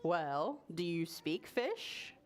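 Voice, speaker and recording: A calm talking tree from a picture book, read aloud by a woman. It explains things patiently and politely, pitched a little lower, with a gently teasing streak. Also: extremely slow, full character voice. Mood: calm, reasonable.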